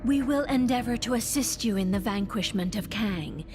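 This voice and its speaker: A woman's voice: fairly high pitch, moderate pace, over music, lightly acted. Woman playing a regal, commanding superhero queen. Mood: regal, resolute. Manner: formal, stately diction.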